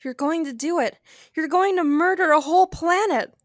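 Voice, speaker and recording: A woman's voice: very high-pitched, moderate pace, no echo, clean studio sound. A woman playing an emotional, troubled young wife in a science fiction audio drama. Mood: horrified, accusing.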